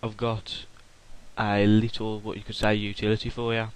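A young man casually talking through a software tutorial while recording his screen, rambling with fillers and restarts. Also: fairly low pitch, completely flat delivery, moderate pace, smooth, low-quality recording.

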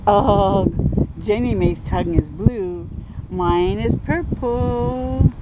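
An older woman reads a picture book aloud to children in an expressive, encouraging way, adding her own asides. Her voice sits at a medium pitch. She is recorded outdoors with loud wind and ambient noise around her. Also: drawn-out words, dull audio. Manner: expressive, encouraging, speaking to young viewers. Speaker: storytime host. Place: outdoors under trees by a river.

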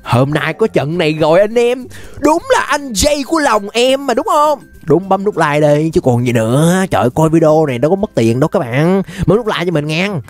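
Excitable young Southern Vietnamese man, music behind. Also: high voice, normal speed. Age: young adult. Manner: excitable, playful, exclamatory. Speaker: gaming YouTuber host. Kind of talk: casual gameplay commentary. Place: indoor room, webcam setup.

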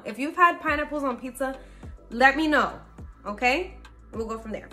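A woman's voice: on the high side, pushed and bright. A woman about thirty talking casually to camera in her bedroom, upbeat and chatty with many fillers. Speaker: faith lifestyle vlogger. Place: bedroom at home, indoors.

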